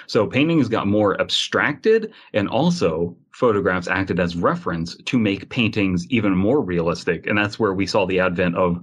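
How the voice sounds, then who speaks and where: Deep-ish voiced guy on a podcast, rambly and opinionated, really leans on his points. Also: animated, normal speed, hardly any pauses, slightly rough. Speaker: podcast co-host. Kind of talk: casual opinionated podcast discussion.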